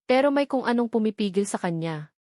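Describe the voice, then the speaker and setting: A woman's voice: on the high side, lively intonation, a little fast, a little mellow, no echo, studio quality. A woman narrating a scripted romance audiobook in an earnest, emotive storytelling manner.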